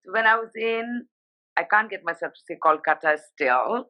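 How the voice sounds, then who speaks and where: A woman's voice: medium pitch, animated, normal speed, pushed and bright, low-quality recording. A woman from Sikkim, India, whose first language is Nepali, talking animatedly and emphatically as an interview guest over a live video call. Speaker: guest, fashion journalist.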